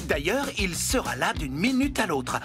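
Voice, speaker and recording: A woman's voice: fairly low pitch, big pitch swings, moderate pace. A woman voicing a cheerful, sweetly anxious, theatrical character in a French children's cartoon. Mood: anxious, coy. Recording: music behind the voice.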